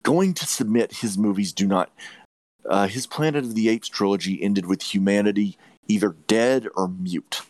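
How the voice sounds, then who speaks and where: Deep-voiced man giving emphatic film opinions. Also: moderate pace, darkish tone, dry recording, poor audio. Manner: emphatic, repeats phrases for stress. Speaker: podcast host reviewing films. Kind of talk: opinionated movie review chat.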